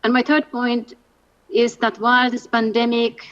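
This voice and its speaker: A woman from Finland giving measured, structured remarks as a panelist in an online webinar. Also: fairly high pitch, flat delivery, fairly bright tone. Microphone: video call. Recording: slightly dull online audio.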